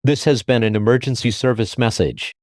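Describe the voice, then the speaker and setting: A man's voice: medium pitch, fairly even intonation, brisk, a little mellow, no room sound, studio quality. A man delivering a scripted emergency alert as an announcer.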